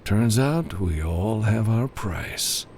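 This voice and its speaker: Man putting on a slow, smug traitor. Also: lowish voice, lively intonation, darkish tone, slight background noise. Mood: smug, menacing. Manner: slow, mock-regretful drawn-out delivery. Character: smug, treacherous male mercenary villain. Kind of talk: audiobook character dialogue.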